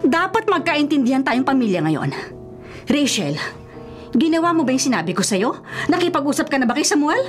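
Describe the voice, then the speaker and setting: A high-pitched, swooping Filipino mother in a radio drama. She is rich, domineering and quick to anger, scolding everyone with imperious melodrama. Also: big pitch swings, soft background music. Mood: angry, insistent.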